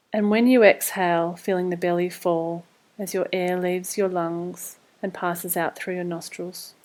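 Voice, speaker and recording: A woman leading a guided body scan meditation, giving scripted instructions in a calm, measured, soothing way. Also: on the low side, rough recording.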